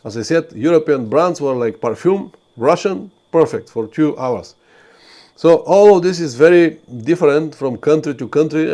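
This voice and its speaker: A man's voice: medium pitch, warm tone. A man from Bulgaria, hosting a live stream and giving earnest motorcycle travel advice with frequent fillers and restarts. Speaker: motorcycle travel channel host. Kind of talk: live stream talk to viewers.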